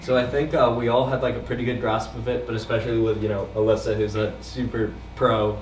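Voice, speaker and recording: A teenage high school student answering questions on a panel, hesitant and full of fillers. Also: male voice, little pitch movement, fast. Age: late teens. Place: community event hall. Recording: echoey hall, distant mic, background noise.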